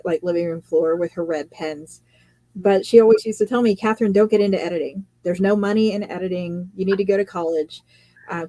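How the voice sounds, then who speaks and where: Low, mellow-voiced woman author. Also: no echo, low-quality recording. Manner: chatty storytelling, quotes others, laughs often. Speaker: guest fantasy author and editor. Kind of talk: casual live chat.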